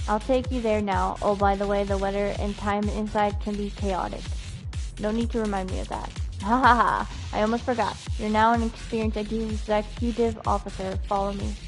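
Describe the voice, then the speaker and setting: A woman livestreaming a video game, chatting and reading text aloud in a giggly, animated way. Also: mid-range voice, moderate pace, rough recording. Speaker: game streamer. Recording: game music behind the voice. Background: video game music.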